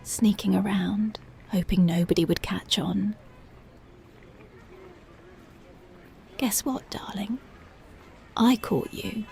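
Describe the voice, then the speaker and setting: Slow, breathy, commanding policewoman, acted by a woman. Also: medium pitch, a little mellow, slight background noise. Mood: taunting, menacing. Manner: slow, commanding, taunting, often dropping into whispers. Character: strict, dominant, teasing female police officer. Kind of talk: ASMR roleplay, intimate and breathy.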